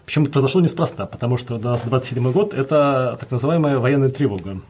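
Man, quick speech, husky voice, lecturing history guest, lots of fillers. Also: mid-range voice, a little mellow. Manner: fast, many fillers, lecturing. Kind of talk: explanatory interview answers.

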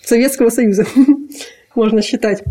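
A young woman from Kazakhstan, a graduate student, talking casually in an interview with many pauses and fillers. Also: on the high side, steady tone, mellow. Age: young adult. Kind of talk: casual conversation.

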